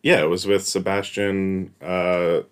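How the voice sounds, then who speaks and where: A man's voice: low voice, little pitch movement, slow pace, smooth. A man in his late twenties co-hosting a casual podcast, throwing in dry, joking asides. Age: 20s. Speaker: podcast co-host. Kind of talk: casual chat.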